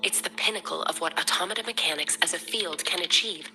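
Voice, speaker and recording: An actress playing a composed, caring academy medic in a video game, speaking in a measured, formal and earnest way. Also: female voice, on the low side, bright, forceful tone, faint music behind. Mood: grave, earnest. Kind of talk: scripted video game dialogue.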